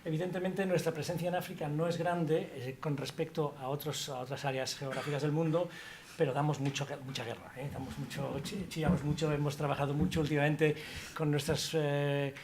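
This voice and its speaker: A man's voice: fairly high pitch, fairly even intonation, a little fast, crowd noise. Rambling man on a panel. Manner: rambling, many restarts and fillers, informal asides. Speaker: academic director of a language institute. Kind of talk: panel talk at a colloquium.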